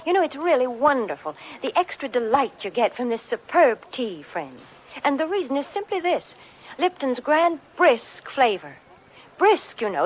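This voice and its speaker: A woman's voice: fairly high pitch, poor audio. An American woman with an emphatic, chatty sales delivery, reading scripted banter in 1940s radio style. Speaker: sponsor spokeswoman and co-host. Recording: old 1940s radio broadcast recording.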